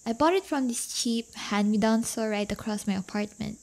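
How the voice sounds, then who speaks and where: A woman's voice: a little high, moderate pace, creaky, darkish tone. A woman playing a brash, playful teenage delinquent girlfriend in an intimate audio roleplay, with slangy, teasing, casual talk. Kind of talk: audio roleplay acting, intimate one-sided dialogue.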